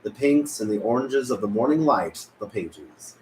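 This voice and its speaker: A man's voice: mid-range voice, lively intonation, moderate pace, a little mellow. A man narrating stage directions at a script table read. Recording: echoey room, faint noise.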